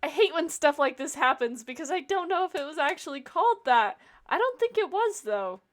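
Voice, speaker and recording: A woman livestreaming a video game with casual commentary, playful and expressive as she talks and reads dialogue aloud. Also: high voice, fairly quick. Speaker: game streamer.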